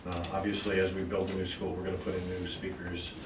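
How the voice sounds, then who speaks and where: A man with a low voice answers questions formally at a public meeting. He explains in a deferential, official way. The recording is echoey, distant and clearly noisy. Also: completely flat delivery, quick pace. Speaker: school district staff member presenting a proposal. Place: indoor meeting room.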